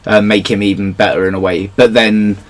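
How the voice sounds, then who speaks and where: A man's voice: on the low side, level tone, brisk. A man hosting a podcast in a casual discussion, giving his opinions in an analytical way. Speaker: podcast host.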